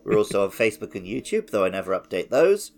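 A man's voice: fairly low pitch, very animated, fast, gravelly. Jokey podcast co-host, man. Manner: jokey, reads promos with self-mocking slips. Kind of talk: casual podcast chat.

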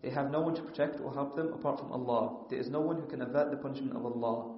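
A man's voice: mid-range voice, steady tone, fast talker. A man who chants verses in melodic recitation and then explains them in English as a teacher. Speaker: Quran teacher. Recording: echoey, dull, reverberant room.

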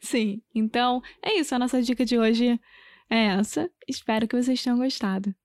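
A woman from Brazil co-hosting a casual podcast, chatty and quick to laugh. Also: on the high side, fairly even intonation, darkish tone, dry recording. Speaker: podcast co-host. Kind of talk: casual language podcast chat.

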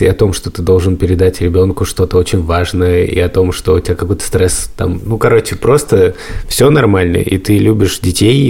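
Low, mellow-voiced middle-aged man chatting. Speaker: podcast host. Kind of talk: casual chat.

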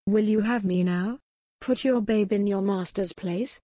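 Defiant starving captive woman, soft and weak, dry close read, from a narrator. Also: mid-range voice, measured pace, no echo, lightly acted.

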